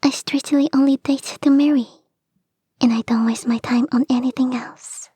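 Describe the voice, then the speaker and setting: A woman voicing a clingy young kitsune fox girl in an intimate ASMR roleplay, soft and breathy, often whispering, coaxing and sweetly insistent, close to the microphone. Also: high-pitched, steady tone, moderate pace, dry recording. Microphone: close, dry microphone.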